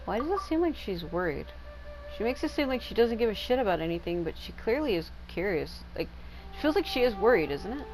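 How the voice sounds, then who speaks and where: A woman's voice: mid-range voice, sing-song, fairly quick, a little mellow, low-quality recording. A woman giving casual, rambling let's-play commentary, candid and given to swearing. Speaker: gameplay streamer. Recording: game music playing behind the voice.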